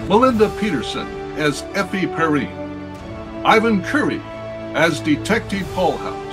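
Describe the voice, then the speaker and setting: A man announcing in a scripted old-time radio style, reading a formal sign-off. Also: fairly high pitch. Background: music.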